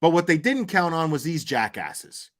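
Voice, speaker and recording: A man with a slightly high-pitched voice hosts an unscripted livestream commentary. He speaks bluntly and argumentatively, with coarse wording. He leans on repeated phrases to drive his points home. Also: sing-song, normal speed. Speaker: livestream host commenting on crime cases.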